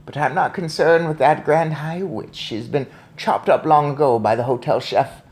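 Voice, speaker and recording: A woman voicing a fierce, bossy, excitable old grandmother in an emphatic, theatrical storybook reading. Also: low-pitched, moderate pace, natural voice. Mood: impatient, fierce. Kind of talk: storybook read-aloud.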